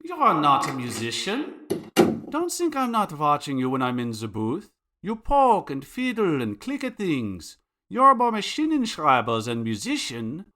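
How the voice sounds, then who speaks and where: A man's voice: fairly high pitch, huge pitch swings, measured pace, sharp tone, full character voice. Male actor as haughty German studio diva, fussy delivery, wide melodic swings, audio comedy. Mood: scornful. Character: fussy, bossy German singer in a studio duo.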